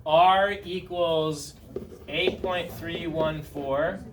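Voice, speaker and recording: Male teacher, thirties, dry near-monotone delivery with a slightly high voice, recorded from the back of an echoey classroom. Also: very slow, background noise. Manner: dry, self-described monotone, asks students questions. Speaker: chemistry teacher. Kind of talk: classroom review lesson. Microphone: far camera at the back of the room. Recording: roomy classroom sound from a distance with students around.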